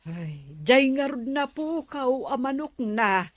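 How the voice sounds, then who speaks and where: A woman's voice: sits high, very animated, slow pace, no room sound, heavily acted. A woman playing a gossipy, prying village woman in a comedy radio drama.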